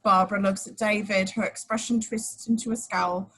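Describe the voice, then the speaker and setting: A woman's voice: mid-range voice, steady tone, normal speed, a bit bright, slightly rough. A woman reading scripted action description as narrator in an amateur table read of a screenplay.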